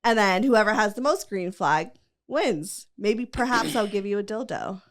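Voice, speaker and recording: A woman's voice: mid-range voice, animated, moderate pace. A woman hosting a podcast, chatting casually and rambling with lots of fillers and giggles. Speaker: podcast host. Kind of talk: casual chat.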